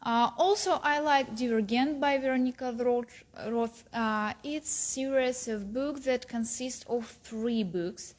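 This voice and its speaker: A young woman, a university student whose first language is Russian, giving a careful, halting talk about books in English, with many pauses. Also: darkish tone. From Kazakhstan. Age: young adult. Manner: rises in pitch at the start of each new point. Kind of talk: recorded book talk.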